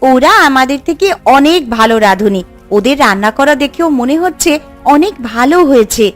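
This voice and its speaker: Woman as a conniving, higher-voiced village wife. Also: expressive, moderate pace, faint music behind. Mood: envious worry. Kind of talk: cartoon voice acting.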